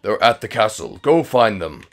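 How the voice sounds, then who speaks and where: Cartoon avenger, hulking and menacing, low gravelly drawn-out delivery. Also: female voice, animated. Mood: solemn. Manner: deliberate, ominous.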